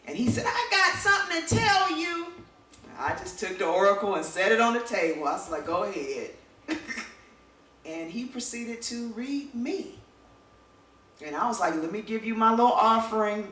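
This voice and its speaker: An older woman on a discussion panel, telling stories in an animated way with a big, full voice. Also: fairly low pitch. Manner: animated storytelling. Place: event hall. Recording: echoey room, distant mic.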